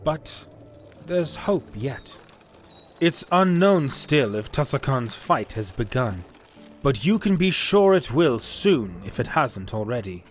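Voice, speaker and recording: A man's voice: mid-range voice, sing-song. A man playing a grave but hopeful war leader, speaking seriously in a fantasy audio story. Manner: serious, deliberate. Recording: music behind the voice.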